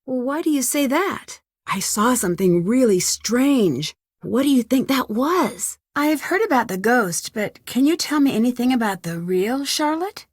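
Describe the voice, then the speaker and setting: A young American woman voicing an earnest, curious and polite amateur detective in an adventure game, with clearly enunciated lines. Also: on the high side, big pitch swings, measured pace, hardly any pauses, no echo, studio quality. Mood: earnest questioning. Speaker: voice actor for a game's detective heroine. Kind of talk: scripted adventure game dialogue.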